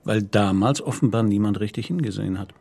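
Middle-aged police commissioner, radio play, gravelly, husky, polite, measured, composed questioning. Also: male voice, lowish voice, dark tone. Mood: gentle, careful.

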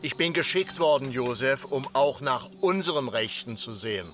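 An actor playing an officious, insistent village mayor in a radio drama, admonishing in a formal, repetitive way. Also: male voice, medium pitch, lively intonation, moderate pace, a bit bright, soft background music, natural voice. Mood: officious reproach. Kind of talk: literary radio drama acting.

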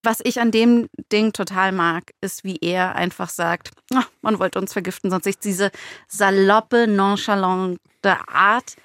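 A woman's voice: mid-range voice, steady tone, measured pace, a bit bright, smooth, no echo. A woman co-hosting a podcast in casual, informal and enthusiastic chat. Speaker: podcast co-host.

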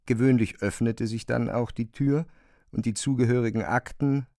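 A man narrating a literary radio drama in a measured, precise way, as a composed observer. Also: medium pitch, steady tone, mellow, no room sound, clean studio sound, natural voice. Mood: measured, observant. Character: male narrator of an absurd bureaucratic tale, observant and composed.

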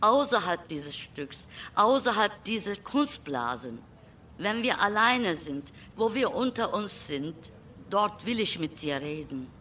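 An actress playing an earnest woman who longs to be heard, in a deliberate, insistent radio play monologue. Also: mid-range voice, big pitch swings, pushed and bright, slight background noise, heavily acted. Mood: earnest longing.

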